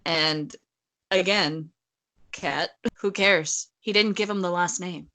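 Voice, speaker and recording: A woman's voice: fairly low pitch, slow, pushed and bright. A woman co-hosting a casual book discussion, blunt and argumentative, often acting out quotes as she goes. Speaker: podcast co-host.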